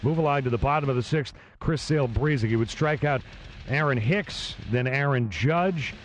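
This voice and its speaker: A man of middle pitch walks through a game recap in a steady, matter-of-fact way. There is faint noise under his voice. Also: normal speed, low-quality recording. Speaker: recap host.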